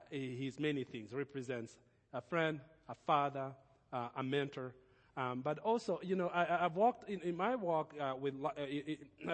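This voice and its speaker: Man, slightly high voice, halting with restarts, church host, big reverb. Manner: halting, many restarts and fillers. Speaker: pastor hosting the service. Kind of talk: church introduction. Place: church sanctuary. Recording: long reverb of a church hall.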